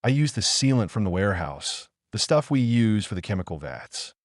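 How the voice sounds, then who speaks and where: A man performs a middle-aged father gone mad with piety in an audio play. His lowish voice moves widely in pitch, in a close, dry sound. He is controlling and intense, justifying himself in short, emphatic, sanctimonious phrases. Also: big pitch swings, normal speed, no room sound. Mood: fervent, reassuring. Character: deranged, pious, controlling middle-aged father, coldly self-justifying fanatic. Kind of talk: scripted audio drama monologue.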